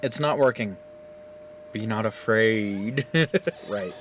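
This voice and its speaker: A man's voice: fairly low pitch, slow pace, a little hiss or hum. A man recording himself on his phone camera at home, giving a casual, rambling and profane recap of a game's story, laughing often. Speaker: gaming video creator.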